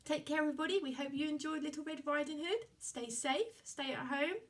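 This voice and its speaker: A woman telling a children's puppet story in animated fashion, with simple, repetitive phrasing aimed at small children. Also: high-pitched, brisk, slight background noise.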